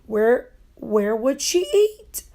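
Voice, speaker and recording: A nosy young schoolboy, acted by a woman talks in a high, slow voice. He is doubtful and daring, a conspiratorial storybook kid. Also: sing-song, creaky, warm tone, full character voice. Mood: challenging. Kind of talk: children's storybook read-aloud.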